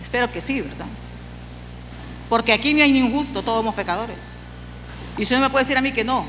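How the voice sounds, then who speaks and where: A woman's voice: a little high, lively intonation, fairly quick, fairly bright tone. A woman preacher giving a sermon with personal testimony, speaking emphatically and repeating phrases for effect. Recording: long hall reverb, clear background noise.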